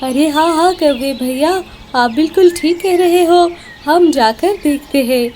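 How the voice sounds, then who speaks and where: A woman's voice: high-pitched, measured pace, darkish tone, slight background noise. A woman voicing a small, earnest female bird in a cartoon story, with an exclamatory manner. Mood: agreeable. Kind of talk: Hindi cartoon story dialogue.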